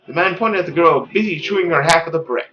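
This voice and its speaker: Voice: mid-range voice, fast talker, a crowd in the background. A narrator reading a visual novel aloud in dramatic storybook style. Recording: dull lo-fi audio with faint music.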